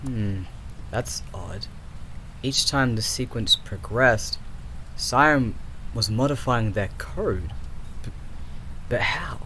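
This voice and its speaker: A man acting a nervous, secretive AI developer in an audio roleplay, halting and stammering as he mutters. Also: mid-range voice, lively intonation, sharp tone, slight background noise, poor audio. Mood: suspicious, musing. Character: nervous, secretive male AI developer, flustered and suspicious.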